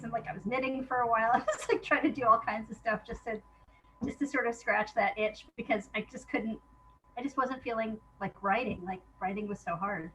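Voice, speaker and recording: High-voiced woman chatting over noticeable noise. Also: fairly bright tone, rough recording. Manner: self-deprecating, chatty, informal. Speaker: playwright being interviewed. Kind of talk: casual conversational interview.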